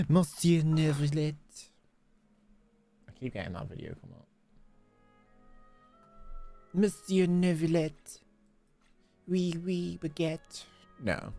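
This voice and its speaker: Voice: mid-range voice, slow, gravelly, long pauses. A streamer chatting casually and exclaiming while playing a game, talking half to themselves. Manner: animated, exclamatory, talking to self. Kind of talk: casual livestream gameplay chatter. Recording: game music behind. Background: video game music.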